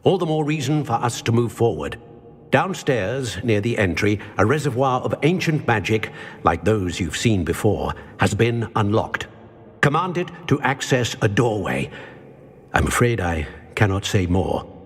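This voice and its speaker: A grave old sage of magic for a fantasy game. He speaks formally in a low, husky register with sweeping intonation. A long cavernous reverb surrounds every word. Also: big pitch swings, unhurried, exaggerated voice. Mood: solemn. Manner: stately, formal, cryptic. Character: grave, wise old male keeper of ancient magic. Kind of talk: video game voice acting.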